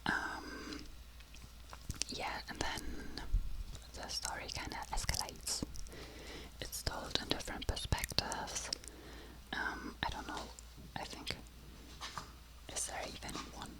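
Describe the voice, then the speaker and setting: Casting: ASMR book reviewer, soft breathy whisper throughout, unhurried and hesitant, feeling for words. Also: low-quality recording. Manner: halting, searching for words in a non-native language.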